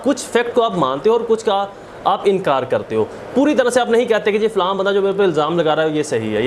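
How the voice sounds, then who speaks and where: Male law lecturer, Pakistani accent, high-pitched, emphatic and repetitive delivery, noticeable background noise. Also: darkish tone. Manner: emphatic, repeats and rephrases key points. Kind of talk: explanatory lecture with English legal terms. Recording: clearly noisy background.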